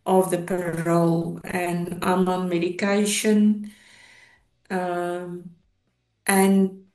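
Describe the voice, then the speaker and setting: A South African woman in her fifties, giving personal testimony in an interview in a halting, reflective way, often pausing mid-sentence. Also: mid-range voice, a little mellow. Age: 50s. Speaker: interview guest, police officer. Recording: roomy, echoing sound.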